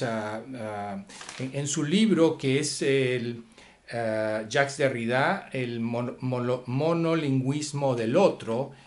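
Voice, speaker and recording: A man giving an unscripted philosophy lecture, pausing often with fillers and asking rhetorical questions. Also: mid-range voice.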